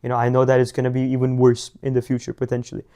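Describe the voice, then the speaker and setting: Give me a teenage man from India, rambling and flat-voiced, full of fillers. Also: mid-range voice, racing, smooth. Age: teens. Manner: rambling, many fillers and restarts. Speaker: guest, young business founder. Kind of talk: casual interview answers.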